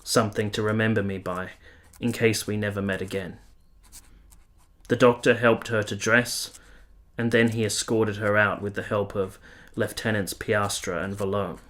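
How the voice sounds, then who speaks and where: An actor playing a weary, earnest, lovestruck army officer who narrates a radio play in solemn, literary, confessional language. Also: male voice, on the low side, little pitch movement, moderate pace, fairly bright tone, close to an everyday voice. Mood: grave, subdued. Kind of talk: radio play, first-person letter narration.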